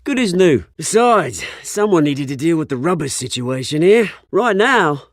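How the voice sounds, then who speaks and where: An actor playing a cocky, bitter childhood friend in a video game, with a British accent, slangy and theatrical. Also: male voice, fairly high pitch, sing-song, moderate pace, dry recording, studio quality. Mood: cocky, playful. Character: cocky, bitter young crime-bound childhood friend, rough charm.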